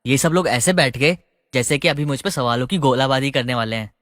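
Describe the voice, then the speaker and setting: A man's voice: medium pitch, fairly quick, a bit bright, no room sound, clean studio sound, big-room sound, lightly acted. A man voicing an eager, bashful young newlywed husband in a family story. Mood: flustered.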